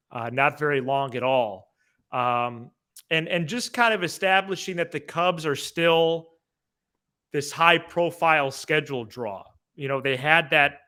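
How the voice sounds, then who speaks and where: A man's voice: fairly high pitch, pushed and bright. A man co-hosting a sports podcast, speaking in a casual, conversational style.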